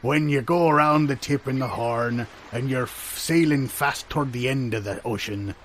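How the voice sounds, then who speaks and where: A man playing a jolly, muddle-headed old-time pirate sailor with a gravelly growl and rustic sailor talk, in improvised audio comedy. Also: mid-range voice, moderate pace, a bit bright, a little hiss or hum, natural voice. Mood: dim, agreeable.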